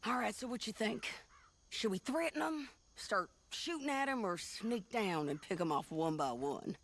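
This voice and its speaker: A woman's voice: mid-range voice, animated, moderate pace. A woman playing a tough, wry, plainspoken bounty hunter with an American accent in a western video game. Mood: wry, confiding. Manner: plainspoken, commanding, folksy.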